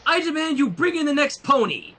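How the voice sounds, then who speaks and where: Man voicing a high, haughty young pony princess. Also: very animated, brisk, sharp tone, full character voice. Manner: haughty, demanding. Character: vain, imperious young female pony princess.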